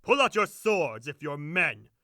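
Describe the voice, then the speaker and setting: A man's voice: a little high, big pitch swings, a little slow, bright, forceful tone, exaggerated voice. An actor playing a brash, boastful young male servant with a crude swagger in an audio drama. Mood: cocky.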